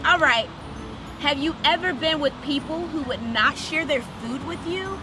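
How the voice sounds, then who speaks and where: High-pitched woman hosting children's story time. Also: a little slow, noisy background. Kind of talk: children's read-aloud with direct questions to young viewers. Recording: music under the voice. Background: music.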